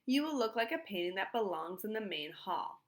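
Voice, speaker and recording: A woman's voice: medium pitch, very animated, moderate pace, close to an everyday voice. A woman voicing a fussy, bossy male museum director in a storybook, with a prim, instructive manner. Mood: insistent.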